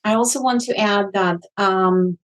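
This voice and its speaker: Woman, slow, hesitant fillers, interview guest. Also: mid-range voice, steady tone. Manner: deliberate, with many ums and uhs. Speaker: guest, magazine editor. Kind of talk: interview answers.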